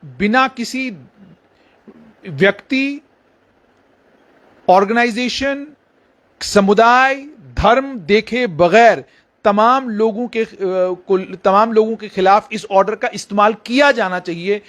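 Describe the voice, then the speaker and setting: A man's voice: sits high, slow pace, long pauses, a bit bright. A man explaining news directly to viewers as a reporter, emphatically repeating phrases.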